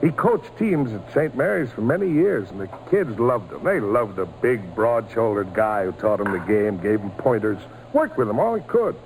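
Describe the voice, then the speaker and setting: An actor playing a folksy, admiring storyteller who narrates an old-time radio drama. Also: male voice, fairly low pitch, big pitch swings, normal speed, hardly any pauses, darkish tone. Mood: fond, admiring. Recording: faintly noisy old radio recording.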